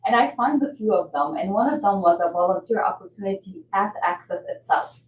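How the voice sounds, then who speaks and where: A woman from Lebanon, a nonprofit development director, telling her story in a measured way with fillers during a podcast interview. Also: medium pitch, animated. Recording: roomy audio, mic at a distance, faint hiss.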